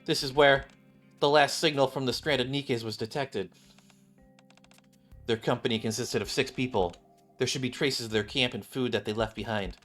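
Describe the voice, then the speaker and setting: A man reading a calm, commanding female squad leader. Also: medium pitch, faint music behind, natural voice. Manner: measured, formal.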